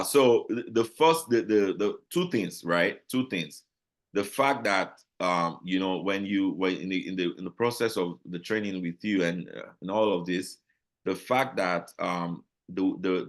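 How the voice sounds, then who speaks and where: Man, Nigerian accent, lowish voice, interview guest, animated, stumbling restarts. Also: unhurried. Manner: animated, many restarts and repeated words. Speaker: guest, business owner. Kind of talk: casual interview chat.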